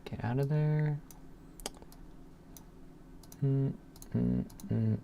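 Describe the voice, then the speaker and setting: Male coding streamer, casual and muttering, unhurried delivery with a dark, mellow tone, keyboard clicks behind. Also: medium pitch, fairly even intonation, gravelly. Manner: muttering to himself, murmured asides with sighs and hums. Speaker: programmer live streamer. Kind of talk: casual thinking aloud while coding. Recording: noisy room with keyboard clicks. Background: computer keyboard typing and clicking.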